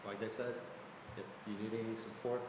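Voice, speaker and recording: A man, a new commission member, offering help in friendly, halting remarks. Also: on the low side, little pitch movement. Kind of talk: casual meeting remarks. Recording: very echoey hall with hiss.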